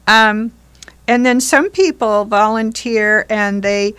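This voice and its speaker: A woman's voice: a little high, slow pace, a bit bright, clear, resonant tone, no room sound. An older woman, a community theater member, talking casually in an interview in loose, chatty explanations. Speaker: member and performer in a seniors' readers theater group.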